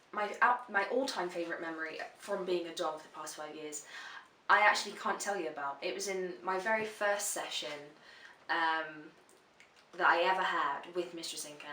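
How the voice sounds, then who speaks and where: A chatty woman in her twenties answering questions for her vlog, blunt and casual, with plenty of swearing. Her voice sits on the lower side and sounds bright and forceful. It is recorded from a slight distance in a roomy, echoing space. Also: slight background noise. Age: 20s. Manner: frank and chatty, swears freely. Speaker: dominatrix answering viewer questions. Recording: roomy echo, voice a bit far from the mic.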